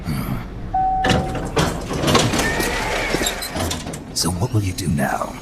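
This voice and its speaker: An actor playing a gruff, terse veteran soldier in a video game, with a breathy gravel rasp and clipped delivery. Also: male voice, sits low, lively intonation, normal speed, background noise. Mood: wry, inviting. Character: gruff, terse male soldier, cut-loose Cold War veteran. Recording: loud music behind. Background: music.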